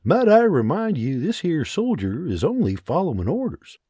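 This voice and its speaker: A man voicing a steady, firm older farmer and father with plain, rustic speech in an audiobook. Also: on the high side, moderate pace, breathy edge, dry recording, studio quality, pitched above the performer's own voice. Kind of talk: audiobook character voice.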